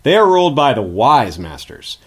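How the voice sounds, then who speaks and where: Man, medium pitch, lively swooping intonation, guest historian, scripted explanatory narration, articulate and informative. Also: moderate pace, breathy edge.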